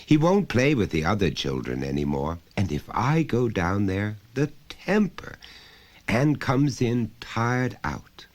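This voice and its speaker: A fretful suburban mother from a short story, voiced by a male narrator. She fusses and exclaims with worried exasperation. The pitch sits well above his narration and moves around a lot. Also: big pitch swings, slow pace, a little mellow.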